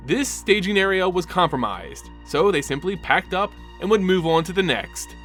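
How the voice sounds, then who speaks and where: Male lore narrator, slightly high, lively emphatic read. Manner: animated, emphatic storytelling. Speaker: lore channel host. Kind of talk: dramatic story narration.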